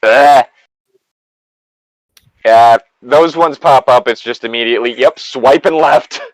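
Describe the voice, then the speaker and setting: A man's voice: mid-range voice, slow, no room sound, poor audio. A man in a casual group chat, talking in a jokey way and swearing freely. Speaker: co-host reading horror stories in a group.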